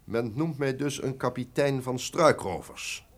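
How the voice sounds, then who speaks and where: An actor playing an ambitious, boastful castle lord in a theatrical, declamatory radio drama style. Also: male voice, medium pitch, a little slow. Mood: proud, defiant. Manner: imperious, declamatory.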